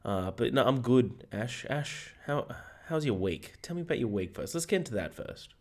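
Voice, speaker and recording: An Australian man co-hosting a film podcast, energetic and jokey, swearing freely, with a hoarse voice. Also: mid-range voice, normal speed. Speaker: podcast co-host. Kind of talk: casual film podcast chat.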